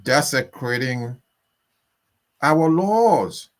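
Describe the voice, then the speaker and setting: Indignant Liberian man, slow and emphatic. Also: medium pitch, animated. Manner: indignant, emphatic, repeats phrases for force. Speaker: political commentator guest. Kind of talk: impassioned political commentary.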